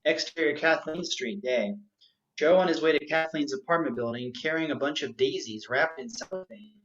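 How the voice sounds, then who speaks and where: Casting: male narrator for a screenplay table read, slightly high pitch, reads scene directions, lo-fi sound. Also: normal speed, a bit bright. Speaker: narrator reading stage directions. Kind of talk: scripted narration at an amateur script reading. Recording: dull, muffled home recording.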